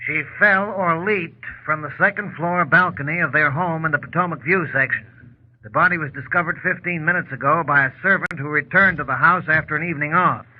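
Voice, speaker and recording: An actor playing a formal police captain in an old radio drama, reporting in terse official phrases. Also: male voice, fairly high pitch, a little fast, lightly acted. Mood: grave, official. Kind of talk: 1940s radio drama acting. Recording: tinny, phone-like old radio line.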